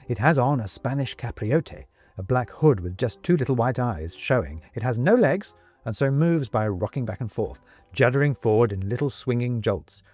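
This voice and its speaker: A British man reading a scripted story as narrator, measured, wry and emphatic in his delivery. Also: mid-range voice, lively intonation, darkish tone, soft background music. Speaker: audiobook narrator.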